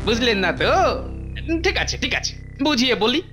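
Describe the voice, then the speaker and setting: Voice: medium pitch, sing-song, moderate pace. An actor voicing a clever, sly court jester in a cartoon, coaxing and explaining with teasing hesitations. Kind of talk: animated cartoon dialogue. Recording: loud music behind the voice.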